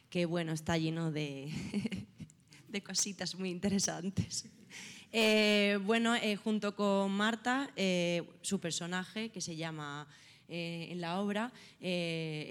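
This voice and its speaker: A woman's voice: fairly low pitch, clean tone. A woman, an actress in the cast, describing her role with lots of fillers and giggles. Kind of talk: press presentation remarks. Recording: long hall reverb.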